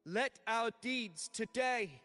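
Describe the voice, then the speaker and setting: An actor playing a fervent young gladiator, declaiming with heartfelt conviction in a video game. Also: male voice, very high-pitched, lively intonation, slow, bright, forceful tone, faint music behind, heavily acted. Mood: rousing, earnest. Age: young adult. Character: fervent young male gladiator rallying his comrades. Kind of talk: scripted video game acting. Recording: long echoing hall reverb.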